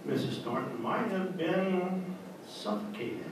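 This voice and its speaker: A formal, probing doctor played by a man who reasons deliberately toward accusation. The voice is fairly high and very varied in melody, in vintage radio drama style. It sounds distant and echoing amid clear noise. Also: animated, full character voice. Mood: suspicious, firm. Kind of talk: old-time radio drama acting. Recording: very echoey hall, distant mic, clear noise.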